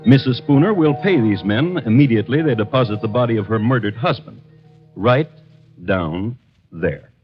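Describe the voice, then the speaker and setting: Animated male vintage radio crime narrator. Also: mid-range voice. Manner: deliberate, dramatic, formal. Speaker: host and narrator. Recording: dull vintage radio recording. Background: music.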